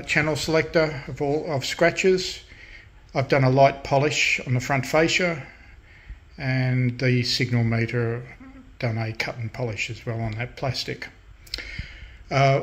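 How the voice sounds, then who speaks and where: Man, halting hands-on demonstrator. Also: mid-range voice, fairly bright tone. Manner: unscripted, halting, matter-of-fact. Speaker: host of a hobby radio restoration channel. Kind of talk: casual hands-on demonstration talk. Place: indoors at a workbench in a room.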